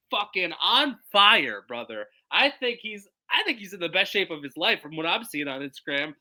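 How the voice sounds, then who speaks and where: A man's voice: high voice, sharp tone. A man co-hosting a casual fight-betting podcast, talking emphatically, swearing and repeating his points. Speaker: podcast co-host.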